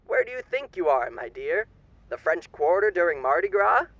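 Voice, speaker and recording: A man's voice: high-pitched, very animated, normal speed, a bit bright, no echo, highs cut off, heavily acted. A man playing a suave demon overlord with theatrical, old-fashioned genteel diction in an audio roleplay. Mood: jovial, teasing. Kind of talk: ASMR character roleplay.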